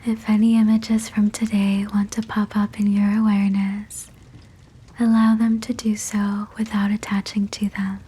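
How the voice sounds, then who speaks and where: A woman guiding a sleep meditation in a breathy whisper, soothing and gentle, with measured phrasing. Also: mid-range voice, flat delivery, low-quality recording. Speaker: sleep hypnosis guide.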